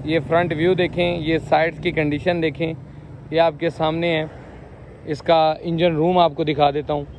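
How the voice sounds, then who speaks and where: A man in his thirties walking around a used car market outdoors, showing cars and chatting with owners in brisk, repetitive patter. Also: a little high, little pitch movement, darkish tone, slight background noise. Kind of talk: walkaround car showcase with owner chats.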